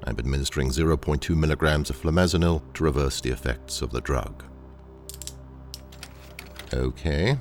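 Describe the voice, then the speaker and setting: An actor playing a cold, menacing corporate training engineer in an audio drama, speaking in a clinical, curt, commanding manner. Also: male voice, extremely low pitch, normal speed, long pauses, faint music behind. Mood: clinical, controlled.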